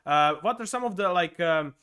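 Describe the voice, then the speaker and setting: A man hosting a podcast interview, asking halting questions with many restarts and fillers. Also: sits high, expressive, bright, forceful tone, clean tone. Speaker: podcast host.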